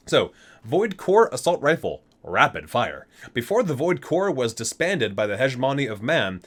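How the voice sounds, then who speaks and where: A man's voice: mid-range voice, sing-song, normal speed, a bit bright. A man reading aloud in an ASMR style with casual asides, in an enthusiastic, geeky and chatty manner. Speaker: video host reading aloud from a book.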